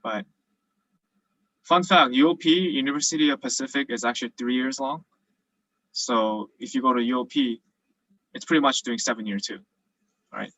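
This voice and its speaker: A man's voice: medium pitch, moderate pace, long pauses, a bit bright, poor audio. A young man who grew up in South Korea and is now a dental student, explaining cases casually with lots of fillers over a video call. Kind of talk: virtual presentation and Q&A.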